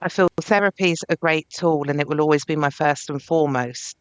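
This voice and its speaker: A woman's voice: low-pitched, brisk, no echo. A woman therapist speaking earnestly and reflectively as a podcast guest. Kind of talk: podcast interview.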